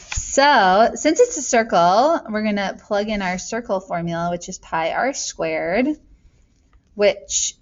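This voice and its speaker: A woman's voice: medium pitch, highs cut off. Chatty woman teacher thinking aloud. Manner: chatty, thinks aloud, self-deprecating asides. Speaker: math teacher. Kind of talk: casual worked-example lesson.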